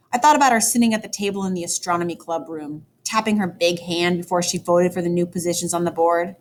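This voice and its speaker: A woman teacher reading a children's story aloud, with expressive, dramatic emphasis for young listeners. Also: lowish voice. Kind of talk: animated children's book read-aloud.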